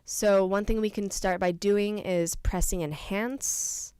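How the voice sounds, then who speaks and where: A young adult woman presenting an informal software tutorial, explaining things casually step by step. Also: medium pitch, fairly even intonation, slow, clean tone, no room sound. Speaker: tutorial presenter, studio intern.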